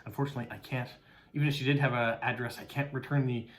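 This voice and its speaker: A man's voice: medium pitch, steady tone, rapid-fire. Middle-aged Canadian man, casual unboxing vlogger, chatty, filler-heavy delivery. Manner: chatty, warm thanks, frequent "uh" fillers. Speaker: postcard vlogger and mail carrier.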